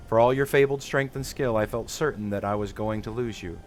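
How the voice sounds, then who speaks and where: A man's voice: lowish voice, fairly quick, a little hiss or hum, close to an everyday voice. A man voicing an earnest, determined young female adventurer from a fantasy game, in formal, old-fashioned speech. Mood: remorseful, hopeful.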